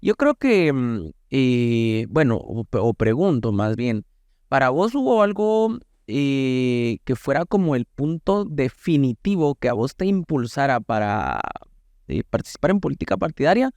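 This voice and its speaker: A man's voice: mid-range voice, sing-song, a little slow, darkish tone, no echo. Man interviewing, dry close sound. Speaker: podcast host, interviewer. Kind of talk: casual interview questioning.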